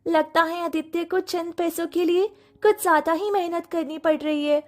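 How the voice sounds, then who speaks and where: A woman voicing a kind, earnest young village wife in an animated moral story. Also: very high-pitched, normal speed. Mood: gentle, concerned.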